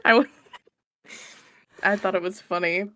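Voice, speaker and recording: A woman's voice: medium pitch, fairly even intonation, measured pace, fairly bright tone, no echo, low-quality recording. A woman chatting casually as an interview guest, informal and self-deprecating. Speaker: interview guest, audio roleplay creator. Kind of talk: casual chat.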